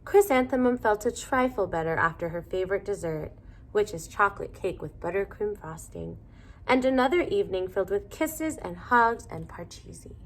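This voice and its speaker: A woman reading a children's storybook aloud in a deliberate, expressive manner. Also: mid-range voice, poor audio. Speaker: storybook reader.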